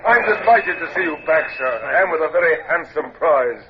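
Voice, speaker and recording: A man acting a loyal first officer in an old radio play. His voice swings expressively, slightly high, full of concern and respect. The recording is muffled and noisy. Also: lively intonation, normal speed, natural voice. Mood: delighted, concerned. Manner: solicitous, deferential. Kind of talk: vintage radio drama acting.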